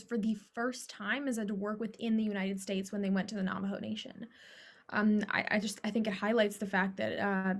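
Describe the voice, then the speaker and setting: A woman's voice: medium pitch, close to an everyday voice. A woman acting in a documentary play as a concerned, thoughtful speaker who explains things hesitantly. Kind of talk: documentary theater, acted as group discussion.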